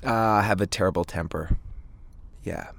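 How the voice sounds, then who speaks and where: A man, a band singer chatting casually in an interview with halting, hesitant phrasing. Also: low-pitched, gravelly, a bit bright, no room sound. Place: inside a parked tour van.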